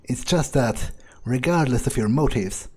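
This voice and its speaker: A man voicing an arrogant, menacing vampire predator in improvised video game roleplay, with a halting, smug, contemptuous drawl. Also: mid-range voice, darkish tone, breathy edge. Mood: smug menace.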